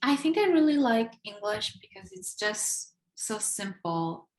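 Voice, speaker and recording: Young woman, slight Spanish accent, mid pitch, chatty, echoey room. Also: sing-song, unhurried, darkish tone. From Ecuador. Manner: chatty, laughs often, many restarts. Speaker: guest. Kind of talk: casual interview chat.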